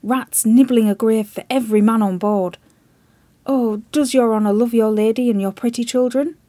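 Storybook sailor, young man, half mad with fear, loud, pleading, urgent, voiced by a woman. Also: a little high, fairly even intonation, moderate pace, dry recording, lightly acted. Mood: desperate pleading.

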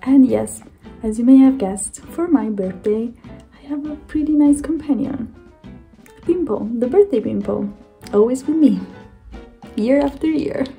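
Italian woman in her thirties, cheerful vlog co-host, high and very mellow, soft music under. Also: animated, a little slow, long pauses. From Italy. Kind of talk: casual vlog talk to camera. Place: indoors at home.

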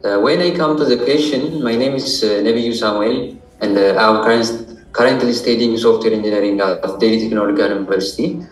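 A man's voice: fairly low pitch, steady tone, fairly quick, few pauses. Ethiopian-accented young man, roomy distant sound. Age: 20s. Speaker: interview guest, international student. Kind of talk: formal interview answers. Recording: roomy echo, distant microphone.

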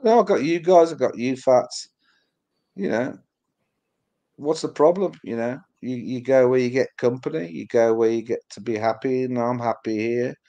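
A man's voice: mid-range voice, moderate pace, mellow, dull audio. A middle-aged man whose first language was Polish, chatting casually on a video call and rambling with lots of "you know". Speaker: livestream co-host. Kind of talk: casual chat.

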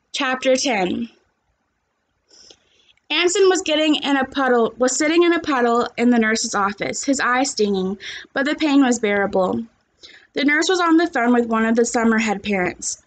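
A young adult woman, a teacher, reading a story aloud and chatting to children, recorded at home on a computer's microphone. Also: high-pitched. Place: small living room at home, indoors.

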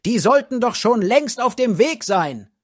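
A man's voice: very high voice, very animated, dry recording, clean studio sound, voice pushed up, heavily acted. A man voicing a furious male military commander, thundering out orders in a fantasy story. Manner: thundering, shouting, drawn-out orders.

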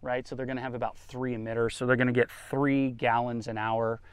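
A man's voice: medium pitch, little pitch movement, brisk, clear, resonant tone, no room sound. A man hosting a garden tour outdoors, talking in a folksy, conversational way as he explains things casually. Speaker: gardening vlogger, host.

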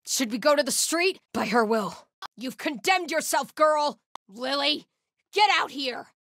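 High-voiced, stern cult soldier. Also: female voice, expressive, measured pace, heavily acted. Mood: stern, angry. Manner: solemn, stern, swinging from hushed muttering to shouted alarms. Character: grim devout female cult soldier, hostile and zealous. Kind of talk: video game combat voice lines.

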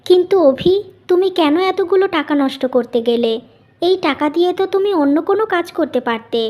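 A kind elder sister from a family cartoon, played by a woman. She speaks in a high voice, gentle and patient, with a solicitous manner. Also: lively intonation, a little fast. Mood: gently concerned.

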